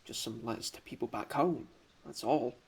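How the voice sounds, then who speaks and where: A man's voice: medium pitch, normal speed, creaky, a little mellow, husky. A man playing a young, dreamy soldier in a radio drama, meek and homesick. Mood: meek, nervous.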